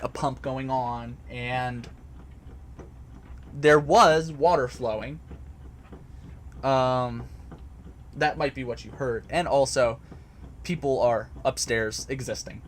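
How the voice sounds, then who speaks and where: A man's voice: medium pitch, fairly bright tone, slight background noise. A man casually explaining a topic to a live chat from a home basement recording area, hesitant, with many fillers and restarts. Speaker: host answering chat.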